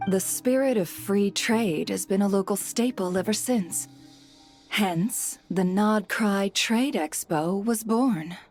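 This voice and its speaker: A woman voicing a poised, shrewd female guild leader in a video game, composed, deliberate and businesslike. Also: medium pitch, darkish tone. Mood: composed explaining. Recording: music behind the voice.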